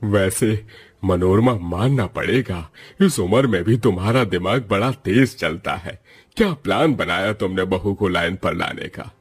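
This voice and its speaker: A family doctor voiced by a man speaks in a low register. He is calm and grave, with measured, deliberate phrasing suited to a moral story. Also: slightly rough.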